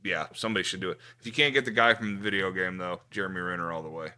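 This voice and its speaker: Blunt man with a bright, lowish voice. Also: rapid-fire, clean tone. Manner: blunt, opinionated, casually profane. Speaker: podcast host. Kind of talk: casual pop culture chat.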